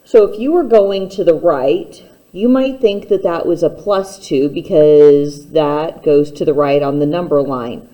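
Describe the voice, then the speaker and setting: A woman's voice: low-pitched, measured pace, few pauses, muffled recording. A woman teacher giving a step-by-step instructional math lesson, speaking plainly and patiently straight to the learner.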